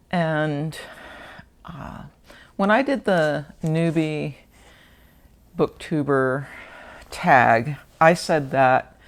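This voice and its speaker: Gravelly teacher-turned-book vlogger, slow and musing, unscripted home talk. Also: medium pitch. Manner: thoughtful, rambling with restarts and hesitations. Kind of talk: unscripted casual vlog talk. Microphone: wearing a mic.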